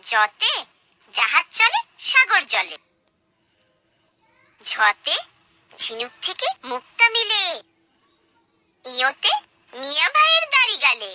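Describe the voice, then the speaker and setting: A woman reciting children's alphabet rhymes in a singsong way, enunciating each phrase deliberately as a presenter for small children. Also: high-pitched, huge pitch swings, sharp tone, a little hiss or hum.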